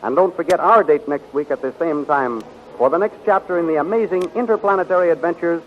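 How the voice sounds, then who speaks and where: A man's voice: a little high, fast talker, slight background noise. A man narrating and announcing in the scripted style of a 1930s radio serial, on a muffled old recording.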